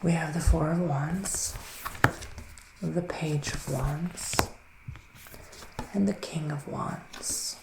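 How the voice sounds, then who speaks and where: Male ASMR performer as a soothing forest witch: very slow, hushed delivery slipping into whispers, roomy echo. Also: a little high, creaky. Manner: unhurried, pausing between short phrases. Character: soothing, mystical male forest witch giving tarot readings. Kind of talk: ASMR psychic reading roleplay. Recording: roomy echo, faint background noise.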